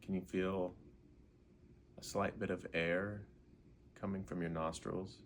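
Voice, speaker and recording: A man leading a guided meditation in an unhurried way, gently asking questions and leaving pauses. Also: sits low, flat delivery, a little hiss or hum.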